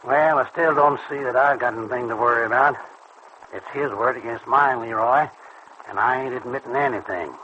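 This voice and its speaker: Casting: male actor as stubborn, slangy small-time crook, vintage radio drama, forceful tone on a muffled recording. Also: medium pitch, normal speed, close to an everyday voice. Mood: stubbornly defiant. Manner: folksy, blunt, slangy tough talk. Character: stubborn small-time male bootlegging sweet-shop keeper called Pop. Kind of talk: old radio serial acting. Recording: muffled, faintly noisy old radio recording.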